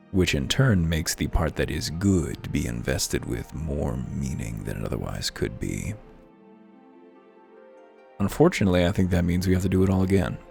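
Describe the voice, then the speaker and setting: A man speaks in a very deep, gravelly voice with music playing behind him. He hosts a video game playthrough in an articulate, musing way, with a wry edge to his commentary. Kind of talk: casual game commentary with read-aloud lore.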